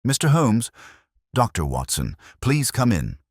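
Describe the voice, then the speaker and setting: A man's voice: medium pitch, huge pitch swings, measured pace, slightly rough, dry recording, clean studio sound, pitched above the performer's own voice, heavily acted. A man voicing a guarded, troubled young woman in an audiobook, hesitant and confessional. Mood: polite. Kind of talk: audiobook character dialogue.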